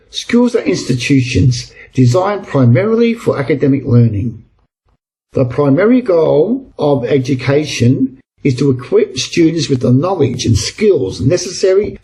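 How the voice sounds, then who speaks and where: A man's voice: a little high, dark tone, breathy edge, low-quality recording. A man reading a scripted model essay aloud in the role of a reader for English exam practice.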